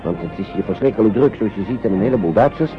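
An actor in a radio play as an anxious, kindly uncle minding refugee children, careful and hesitant. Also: male voice, fairly low pitch, animated, fast talker, close to an everyday voice. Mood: reassuring, urgent. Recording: dull old radio play, music behind.

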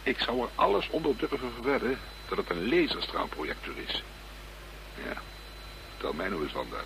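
An actor playing a brisk, gruff police detective in a radio play, speaking in a clipped, easily exasperated way. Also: male voice, on the low side, very animated. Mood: pensive. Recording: noisy, humming radio play recording.

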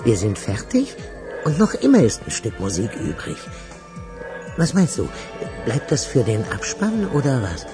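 A grumbling, nervy man in a radio drama. He needles and repeats himself in a medium voice with extreme pitch swings, music behind him. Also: huge pitch swings, measured pace. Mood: needling, edgy. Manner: needling, repetitive.

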